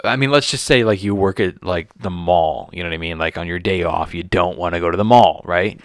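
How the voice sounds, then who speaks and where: A man's voice: low voice, a little fast, no echo, studio quality. A man in his thirties, a comedian, chatting casually on a podcast in an informal, slangy way. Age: 30s. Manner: informal, slangy, frequent swearing. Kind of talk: casual chat.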